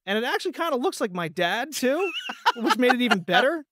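A man's voice: very high voice, very animated, a little fast, sharp tone, slight background noise. A man telling stories in a casual podcast chat, peppering them with "like" and quoted reactions. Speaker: voice actor, podcast guest.

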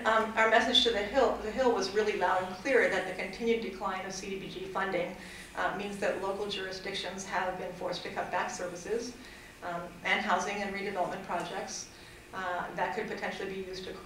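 Middle-aged woman, public comment, earnest and halting, flat delivery, echoey hall, off-mic. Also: mid-range voice, a little hiss or hum. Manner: earnest, hesitant with many fillers and restarts. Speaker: fair housing advocate at public hearing. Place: council chamber. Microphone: desk mic at a distance. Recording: echoey chamber, far from mic.

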